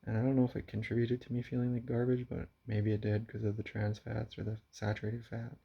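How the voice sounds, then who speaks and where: A young man talking quietly into his camera at home in a confessional vlog, halting and subdued, with frequent sighs. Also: on the low side, completely flat delivery, gravelly, husky. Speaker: vlogger. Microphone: camera mic. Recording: dull lo-fi camera audio.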